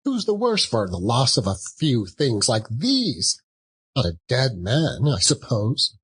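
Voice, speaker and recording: A man's voice: medium pitch, huge pitch swings, slow pace, fairly bright tone, husky, voice pushed up, heavily acted. A man voicing a brazen, callous old charwoman in a theatrical storybook reading, scornful and exclamatory. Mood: scornful, defiant. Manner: exclamatory, scornful, laughing between phrases.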